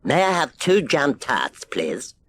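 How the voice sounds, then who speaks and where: A man's voice: mid-range voice, huge pitch swings, takes it slowly, fairly bright tone, pitched above the performer's own voice, full character voice. A man voicing a polite, hungry crofter in a children's storybook. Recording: hissy old cassette transfer.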